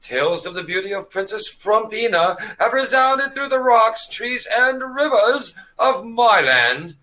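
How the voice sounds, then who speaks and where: Man acting earnest gallant knight, animated high pitch, formal declamation, comic radio play. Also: unhurried, heavily acted. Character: earnest, brave, romantic young knight, pompously chivalrous.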